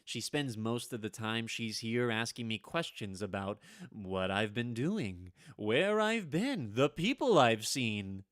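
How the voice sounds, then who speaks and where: Man acting a nervous, henpecked professor, animated and bright. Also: mid-range voice, slow, few pauses, dry recording, clean studio sound. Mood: weary, rueful. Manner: stammering, nervous, confiding. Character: henpecked, haunted male history professor, anxious and plotting. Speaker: radio drama actor. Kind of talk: vintage radio drama acting, confiding monologue.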